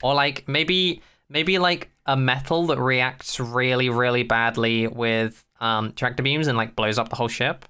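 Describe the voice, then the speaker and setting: A podcast co-host chatting casually about a TV show in an animated, incredulous, sweary way. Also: mid-range voice, a little slow, hardly any pauses, clean tone.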